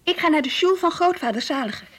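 Earnest, high-voiced devout Dutch teenage girl. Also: animated, fast talker, a bit bright. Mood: stubborn. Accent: Netherlandic Dutch. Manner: earnest, dreamy, stubborn. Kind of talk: Dutch radio play acting, diary monologue and dialogue. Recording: faintly hissy old radio play recording.

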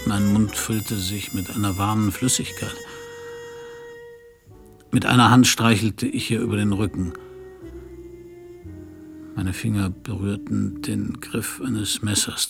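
Hard-boiled private eye, laconic noir radio narration, lowish gravelly voice, music underneath. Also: male voice, steady tone, unhurried, pauses often. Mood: melancholy, brooding. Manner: terse, laconic. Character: world-weary male private detective, hard-boiled narrator. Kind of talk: German radio crime drama, first-person noir narration and dialogue.

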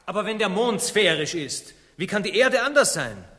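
A bold young freethinking student in a radio satire, guileless and stubborn. His voice is high and lively, ringing in a hall. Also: normal speed, heavily acted. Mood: earnest defiance. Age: young adult. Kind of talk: satirical German radio play acting. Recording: reverberant hall.

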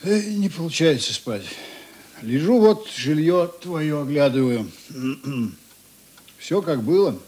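A man's voice: a little high, expressive, takes it slowly, long pauses, warm tone. An actor playing a weary, laconic male writer in a radio drama, speaking in a subdued, reluctant way. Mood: musing, drowsy. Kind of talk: Russian radio drama dialogue.

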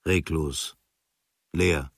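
A man reading a travel diary as scripted literary radio narration, in measured, observational short phrases. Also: very deep voice, steady tone, dry recording, clean studio sound. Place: studio.

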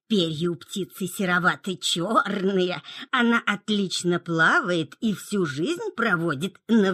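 A woman playing a sly, coaxing frog with a husky croak in a children's audio play, speaking in a slow, drawn-out way. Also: medium pitch, a bit bright, heavily acted.

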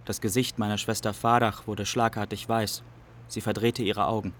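An actor playing a man who soberly recalls a wartime childhood, in measured radio drama narration. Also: on the low side, level tone, fairly bright tone, close to an everyday voice. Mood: sober, remembering.

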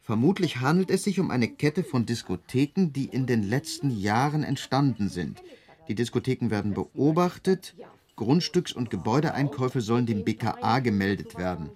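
Man acting a fussy junior cop, formal, stumbly, mid pitch, radio play. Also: lively intonation, darkish tone, natural voice. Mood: officious. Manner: formal, halting, bureaucratic. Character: officious, hesitant male police subordinate.

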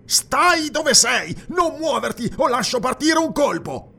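Jittery, shrill, raspy watchman. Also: normal speed, heavily acted. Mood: alarmed bluster. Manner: nervous, excitable exclamations. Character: jittery male night watchman, frightened yet blustering. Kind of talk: dramatized fantasy audiobook dialogue.

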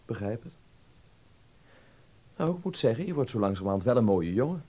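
A man acting in a Dutch radio play as an earnest, naive young man abroad, curious and gently teasing. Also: medium pitch, sing-song, a little fast, noisy background, close to an everyday voice. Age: young adult.

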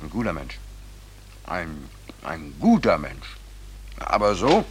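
Easygoing drifter, low voice, mock-naive, courteous, halting. Vintage 1940s radio drama, slight noise. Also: male voice, wildly swooping pitch, exaggerated voice. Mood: hungry, content. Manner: hesitant, polite, mock-naive, repeats words. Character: easygoing, wry drifter, male, playing the naive innocent. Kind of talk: 1940s German radio play acting. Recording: noisy old 1940s radio recording.

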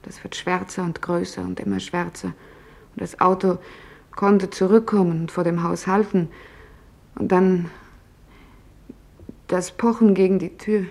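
An actress playing a woman office worker who recalls wartime events in intimate, measured radio play narration. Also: fairly low pitch, lively intonation, darkish tone. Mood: tense, haunted. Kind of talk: dramatized first-person narration in a radio play.

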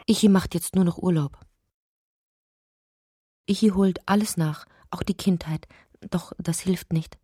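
Woman reading a literary radio play, kinda low n breathy, poetic delivery, real dry close sound. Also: fairly even intonation, measured pace, pauses often, mellow, dry recording. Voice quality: breathy, often dropping toward a whisper. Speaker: narrator. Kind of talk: literary audio drama narration.

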